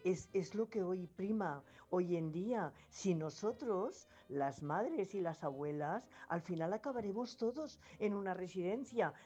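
A woman's voice: lowish voice, mellow. A Spanish grandmother from La Mancha in her fifties, calling a radio show to give earnest, insistent advice, repeating herself and hesitating often. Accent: Spanish from Spain. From La Mancha, Spain. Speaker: radio caller. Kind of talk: emphatic advice on a phone-in. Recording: dull lo-fi phone-in line with faint music.

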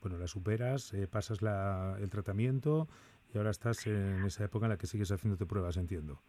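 A man's voice: on the low side, fairly quick, warm tone. A man hosting a late-night radio call-in, speaking intimately and reflectively straight to his listeners. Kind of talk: intimate radio monologue and caller interview. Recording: music under the voice.

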